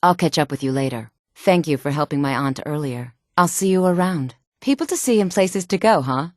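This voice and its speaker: Low-voiced sultry, self-assured fantasy woman. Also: expressive, no echo, clean studio sound. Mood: cool, courteous. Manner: sultry, poised, measured, haughty. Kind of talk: video game character dialogue.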